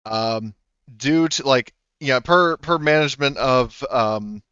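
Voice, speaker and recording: A man acting as game master in a tabletop game, explaining story events with lots of pauses and restarts. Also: mid-range voice, bright, forceful tone, clean tone, no echo, lo-fi sound. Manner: hesitant, many ums and restarts.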